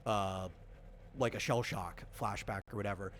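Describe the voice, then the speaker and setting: A man's voice: on the low side, fairly even intonation, normal speed, fairly bright tone. Casual man explaining, over background noise. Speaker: game designer running character creation.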